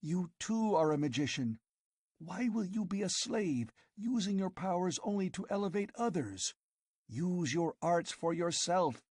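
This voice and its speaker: A man's voice: high voice, expressive, unhurried, a little mellow, no room sound, clean studio sound, pitched above the performer's own voice. A man reading an audiobook, voicing a fierce, passionate young woman who pleads urgently and breathlessly. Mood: passionate urging. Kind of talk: audiobook character voice.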